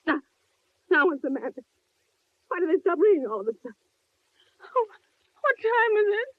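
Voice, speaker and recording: An actress playing a nervous, demanding wife alone at night in a 1940s radio thriller, agitated and repeating herself. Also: female voice, extremely high pitch, sing-song, moderate pace, no echo. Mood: anxious. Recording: dull, noisy vintage radio recording.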